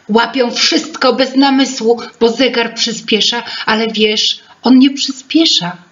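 A woman plays a bossy little storybook lady who fusses over everything, pitched up from the storyteller's voice. She is stern and emphatic, forever exasperated, with a slightly dull recorded sound. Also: fairly bright tone. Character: bossy, fussy female fairy-tale creature of remembering.

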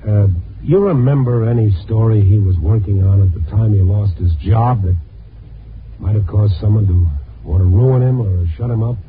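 An actor playing a dogged, weary newspaper reporter with an American accent in a 1950s radio drama, clipped and hardboiled. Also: male voice, on the low side, moderate pace, slight background noise, natural voice. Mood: earnest, pressing. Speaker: lead actor and narrator. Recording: old 1950s radio broadcast, tinny band-limited.

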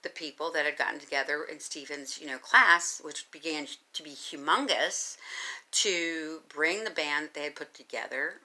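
Low bright-voiced older woman vlogging. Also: normal speed. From United States. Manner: rambling, many ums and you-knows, animated hand gestures. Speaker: vlogger telling her life story. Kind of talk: casual storytelling to camera. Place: small carpeted bedroom indoors. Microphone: phone camera at arm's length.

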